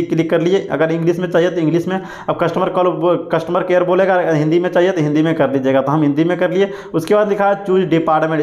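A man's voice: sits high, roomy sound. A man narrating a phone screen-recording tutorial, listing app features one by one.

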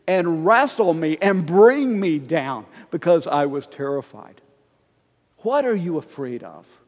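A middle-aged man, a pastor, preaching a conversational, expressive sermon while standing before the altar. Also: fairly high pitch. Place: church sanctuary before the altar. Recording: long reverb of a church sanctuary.

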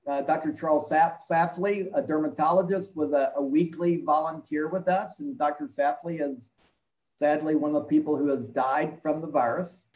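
A man in his sixties giving measured, halting answers as an interview guest over a video call from an indoor room. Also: fairly high pitch, fairly even intonation, a little mellow, roomy sound, poor audio. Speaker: interview guest, health clinic leader and doctor.

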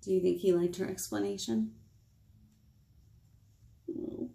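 An American woman in her thirties leading a picture-book storytime for small children, playful and expressive, asking them questions. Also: medium pitch, slow, creaky. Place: small indoor room with whiteboard.

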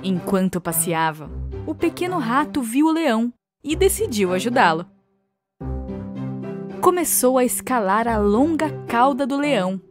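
Woman narrator, fairly high voice, scripted children's story, clear music behind. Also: big pitch swings, a little slow.

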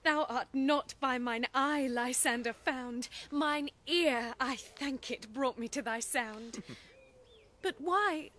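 Devoted young lover: hurt and puzzled, high register, unhurried classical verse, radio drama. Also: female voice, fairly bright tone. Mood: tender, questioning. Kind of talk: classical Shakespearean radio acting.

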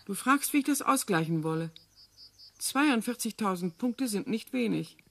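An actress playing a genteel, coolly calculating older wife in a radio drama, speaking precisely and formally. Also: female voice, medium pitch, lively intonation, moderate pace, vocal fry, a bit bright. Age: middle-aged or older.

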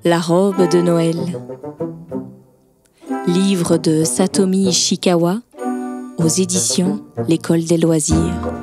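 A woman's voice: on the low side, little pitch movement, slow, pauses often. A woman narrating a scripted children's story as a storyteller. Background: soft background music.

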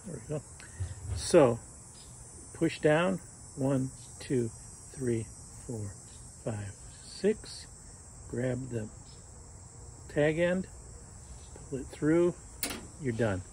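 An older man talks through a fly fishing knot in a relaxed, joking way, chatting as his hands work. His voice sits at an ordinary middle pitch. He is outdoors on a patio, close to the camera, with faint crickets and insects behind him. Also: gravelly, a little hiss or hum. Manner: chatty and joking, talks while tying knots. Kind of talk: casual how-to demonstration. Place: outdoors on a sunny patio by a fence.

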